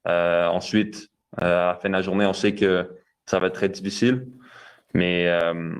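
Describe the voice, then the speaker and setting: A man's voice: low-pitched, steady tone, moderate pace, clear, resonant tone. A young man, a goalkeeper answering press questions with many fillers and restarts.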